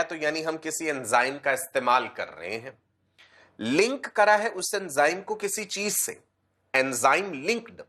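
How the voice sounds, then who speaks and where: A male teacher giving an online class with a slight cold that makes him a bit hoarse. He speaks patiently, calls his students "beta" and repeats key terms. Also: a little high, a bit bright.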